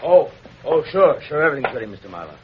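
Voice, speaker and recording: An actor playing an absent-minded, obliging lakeside caretaker in 1940s radio style, hesitant and deferential. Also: male voice, medium pitch, sing-song, fast talker, natural voice. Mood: befuddled, eager. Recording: muffled, noisy vintage radio recording.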